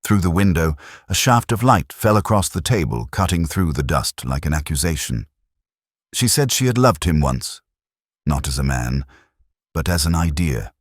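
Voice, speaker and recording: A man with an extremely deep, husky voice narrates a detective story in the first person. His delivery is solemn and measured, yet the pitch moves expressively. The sound is dry and close. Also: animated, no room sound.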